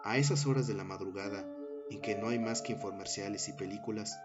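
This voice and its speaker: A man narrating a scripted horror story in a measured, dramatic storytelling manner. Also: fairly low pitch. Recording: dull sound under loud music bed. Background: loud background music.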